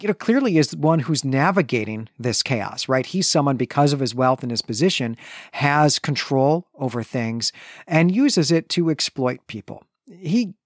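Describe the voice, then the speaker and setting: A man's voice: medium pitch, animated, normal speed, no room sound, studio quality. A man co-hosting a podcast, discussing a novel in an earnest, conversational way and often checking in with "right?". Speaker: podcast co-host. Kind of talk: casual literary discussion.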